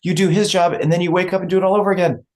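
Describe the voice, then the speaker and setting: A woman's voice: on the low side, steady tone, rapid-fire, a little mellow, lightly acted. A woman playing a brash, scheming and defiant political operator in a stage comedy read. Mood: persuasive. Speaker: actor in a table read.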